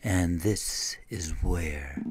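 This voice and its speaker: A man with a very low, husky voice narrates a poetic script. He is slow and meditative, with a hushed delivery in a dry recording. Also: dry recording.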